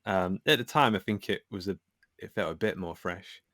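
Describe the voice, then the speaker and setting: A man's voice: sits low, animated, normal speed, a bit bright. A man speaking as a podcast guest, casually recounting his creative work in a self-deprecating way. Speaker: podcast guest, author and filmmaker.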